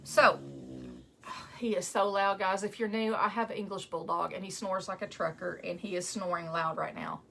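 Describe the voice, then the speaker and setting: A chatty woman with a West Texas twang, talking to her live viewers while she builds a wreath at home. Her voice sits at an everyday middle pitch, with a faint hiss of noise underneath. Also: steady tone, moderate pace, gravelly, bright, forceful tone. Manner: chatty, greets viewers by name between steps. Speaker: wreath maker hosting a live stream. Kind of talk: casual chat while crafting.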